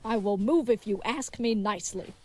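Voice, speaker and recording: A woman's voice: medium pitch, normal speed, no echo, lightly acted. A woman playing a tall, earnest, dim-witted knight in a comedic fantasy audio drama, rambling with naive, formal courtesy. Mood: politely protesting.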